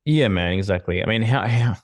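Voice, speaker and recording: A man's voice: on the low side, fairly quick, no echo. A man co-hosting a podcast, talking with enthusiasm in a motivational way and swearing casually. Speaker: podcast co-host.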